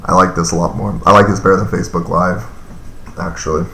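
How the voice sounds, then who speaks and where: Low gravelly man live streaming. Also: little pitch movement, quick pace, bright, forceful tone, echoey room, a little hiss or hum. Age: 30s. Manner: loose and rambling, many fillers and restarts. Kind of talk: casual live-stream chat reading viewer comments.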